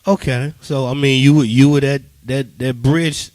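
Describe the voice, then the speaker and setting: A man's voice: mid-range voice, fairly even intonation, normal speed, a little mellow, no room sound. Mid-voiced man interviewing in dry studio. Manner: informal, conversational, frequent acknowledgements and restatements. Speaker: radio interviewer. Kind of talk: casual radio interview. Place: radio studio.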